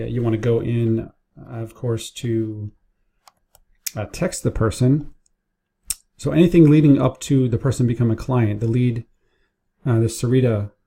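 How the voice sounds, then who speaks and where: Man, very dark mellow tone, some vocal fry, casual software walkthrough, informal step-by-step explaining, staff training. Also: medium pitch. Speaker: business owner training staff. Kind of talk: casual screen-share tutorial narration. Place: indoors at a computer, keyboard and mouse clicks.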